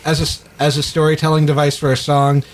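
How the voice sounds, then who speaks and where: A man with a slightly raspy voice, chatting casually as a co-host of a music discussion. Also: a little high, level tone, quick pace. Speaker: podcast co-host. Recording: clear background noise.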